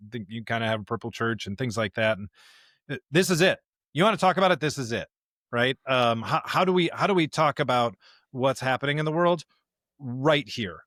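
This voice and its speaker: A man's voice: medium pitch, fairly bright tone, no room sound, clean studio sound. A man hosting a podcast in a conversational, informal and emphatic way, like a pastor talking scripture with a colleague.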